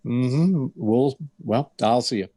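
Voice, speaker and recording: Man playing a deadpan, no-nonsense radio detective. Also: mid-range voice, takes it slowly, no echo. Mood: terse, dry. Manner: deadpan, clipped, matter-of-fact. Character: stoic, no-nonsense male police detective narrating his case. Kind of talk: 1950s radio crime drama parody.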